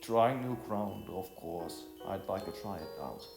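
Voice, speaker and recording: A man's voice: low voice, animated, unhurried, vocal fry, heavily acted. A man voicing a reserved, earnest male traveler who speaks in a hesitant, formal way in a storybook. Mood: awkward, earnest. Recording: roomy echo with music behind.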